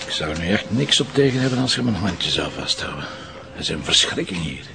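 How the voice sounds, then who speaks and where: Animated, wry Flemish police commissioner. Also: male voice, sits low, a little fast, gravelly, noisy background, full character voice. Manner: dry, teasing. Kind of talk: radio drama acting.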